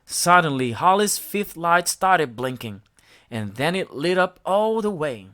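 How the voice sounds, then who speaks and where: A woman narrates a children's story aloud. Her delivery is slow and deliberate. Her pitch swings widely, giving each sentence an expressive, storytelling shape.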